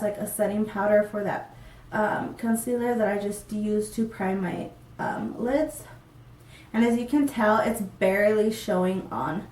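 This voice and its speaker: A woman's voice: fairly high pitch, recorded from a distance. A young woman with a stuffy, congested voice from a cold, chatting casually through a makeup tutorial with many ums. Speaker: beauty vlogger. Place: small room, plain backdrop. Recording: roomy and off-mic.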